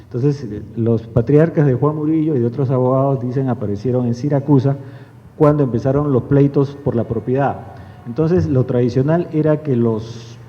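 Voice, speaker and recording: A man's voice: medium pitch, moderate pace, mellow. A middle-aged man with a Lima accent from Peru, giving a wry, digressive public lecture with many fillers. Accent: Lima Peruvian Spanish. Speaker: guest speaker. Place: lecture hall. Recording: echoey hall, dull lo-fi sound.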